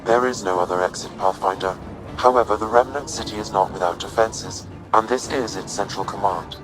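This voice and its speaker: An actor playing a calm, precise artificial intelligence in a video game, speaking in a measured, formal, impassive way. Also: male voice, lowish voice, music in the background, close to an everyday voice, poor audio. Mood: calm, informative. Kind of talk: video game cutscene acting.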